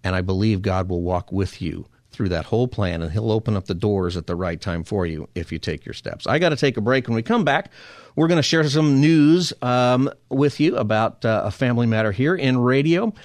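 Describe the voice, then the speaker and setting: A man's voice: fairly low pitch, moderate pace, dry recording, clean studio sound. A man hosting a faith-based talk radio show, earnestly encouraging listeners in a preacher-like way. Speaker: radio host. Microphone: studio microphone.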